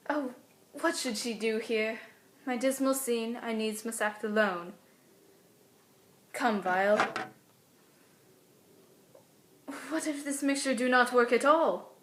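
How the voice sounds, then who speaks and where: Woman doing scared teenage girl from a stage tragedy, higher voice, anxious wavering shakespeare soliloquy, desperate. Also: fairly bright tone, echoey room. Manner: anxious, wavering, archaic verse delivery.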